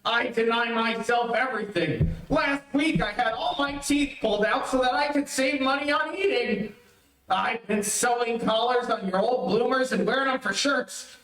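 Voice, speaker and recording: A man's voice: very high voice, moderate pace, a bit bright. A man playing an exasperated, grumbling henpecked husband in an old-time radio comedy. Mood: outraged. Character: exasperated, sleepy, penny-pinching henpecked husband. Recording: echoey, distant streamed stage recording.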